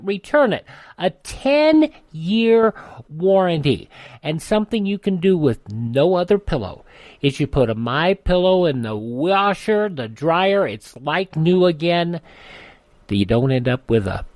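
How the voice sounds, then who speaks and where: A man giving a conversational radio sales pitch, folksy and emphatic. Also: a little mellow, no room sound, studio quality.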